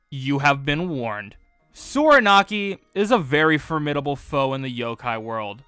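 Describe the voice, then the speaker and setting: A man hosts a scripted commentary video in a bright, forceful voice. His pitch swings widely as he stresses key words expressively. The recording is dry and close, with music underneath. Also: dry recording. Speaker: video essay host. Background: background music.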